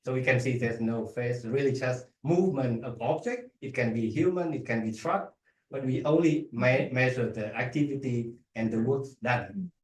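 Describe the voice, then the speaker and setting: A man with a Vietnamese accent is presenting at an academic event. His delivery is halting, with restarts and repeated words. He sounds set back from the microphone in a reverberant room. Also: medium pitch. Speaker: academic researcher, guest speaker. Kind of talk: conference talk and audience Q&A. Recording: echoey room, distant microphone.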